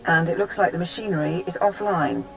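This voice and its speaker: A man playing a dutiful technical support officer in a video game, brisk, clipped and businesslike. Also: sits high, creaky, soft background music, rough recording. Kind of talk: video game cutscene acting.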